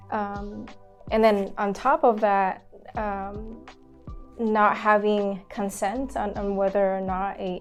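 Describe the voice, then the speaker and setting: Woman from Mexico, community organizer, mellow warm tone, halting with many ums, faint music behind. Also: mid-range voice. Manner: halting, many fillers and restarts. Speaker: community land trust organizer. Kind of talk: casual interview talk.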